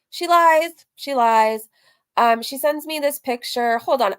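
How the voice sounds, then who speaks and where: A woman with a fairly high voice hosts a casual reaction stream. She talks candidly and in a rambling way, reading messages aloud and cutting herself off with asides. Her language is loose and conversational, with some swearing. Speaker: streamer and host of a reaction livestream.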